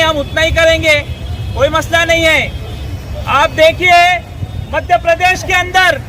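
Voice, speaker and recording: Indian guy giving a protest speech, really high pitched, monotone, emphatic, crowd around. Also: poor audio. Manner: emphatic, repetitive oratory in short phrases. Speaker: protest speaker, political activist. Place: outdoor street protest before a crowd. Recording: crowd and traffic noise behind.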